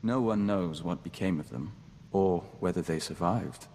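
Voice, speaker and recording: An actor voicing a calm, formal young man joined to an insect hive mind in a video game, speaking in a measured way as "we". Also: low voice, big-room sound, slight background noise. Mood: earnest, dreamy. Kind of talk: scripted video game voice acting.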